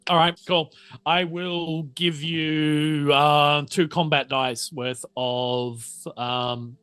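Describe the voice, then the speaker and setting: A man running a tabletop roleplaying game as game master, narrating off the cuff and often halting to think mid-sentence. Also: fairly high pitch, flat delivery, bright, forceful tone, smooth, no echo.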